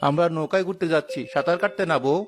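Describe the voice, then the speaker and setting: A man's voice: fairly high pitch, very fast, music in the background, natural voice. Man voicing a firm, kindly village father. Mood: reassuring.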